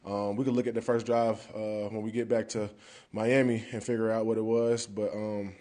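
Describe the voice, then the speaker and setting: Mellow-voiced young man, casual podium talk. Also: fairly low pitch, flat delivery, moderate pace. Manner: casual, conversational, drops "man" often. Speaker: football player. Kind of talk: post-game press conference answers. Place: press conference room, indoors. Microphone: podium mic in front.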